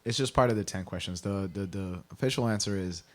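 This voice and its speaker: Male interview guest from Kenya, low voice, explanatory and conversational, often tags points with "right?". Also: fast.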